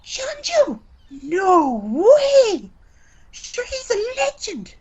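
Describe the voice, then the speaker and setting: A woman's voice: very high voice, huge pitch swings, very slow, dull audio, noisy background, full character voice. A woman with an Irish accent playing an eager, simple-minded young stable hand in an amateur radio play. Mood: excited.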